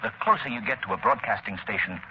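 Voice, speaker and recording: An actor playing a confident, commanding gentleman detective in 1930s radio style. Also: fairly low pitch, fast, lightly acted. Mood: brisk, decisive. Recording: noisy muffled 1930s radio broadcast.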